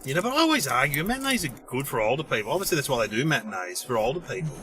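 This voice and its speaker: A man's voice: mid-range voice, sing-song, very fast, bright, forceful tone, a little hiss or hum. An Australian man, a comedian hosting a podcast, chatting casually. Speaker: podcast host, stand-up comedian. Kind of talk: casual chat.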